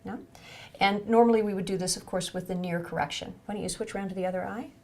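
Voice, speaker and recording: A woman's voice: medium pitch, fairly even intonation, fast talker, dark tone, a little hiss or hum. A woman clinician giving an instructional demonstration of an eye exam, encouraging the patient as she explains each step.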